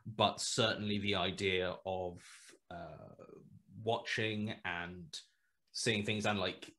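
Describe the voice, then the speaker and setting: A man's voice: deep voice, steady tone, slow pace, bright, forceful tone. Man musing with many restarts. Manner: rambling, hesitant, many restarts and fillers. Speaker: guest writer. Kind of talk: casual video call interview. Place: small room at home. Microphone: headset.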